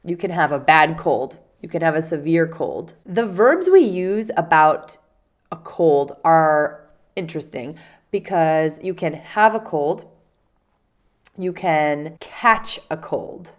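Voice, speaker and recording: Stuffy-nosed woman teaching slowly. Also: deep voice, expressive. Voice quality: congested, nasal. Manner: patient, explains with spoken examples. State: stuffy nose from a cold. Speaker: English teacher. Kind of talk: casual vocabulary lesson.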